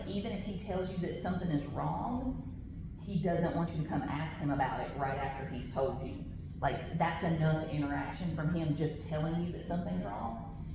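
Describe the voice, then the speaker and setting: A woman with a loud voice leading a classroom training, chatty, with personal asides and frequent restarts. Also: low-pitched. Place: large training room with tables. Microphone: camera on the table. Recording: echoey room, background noise.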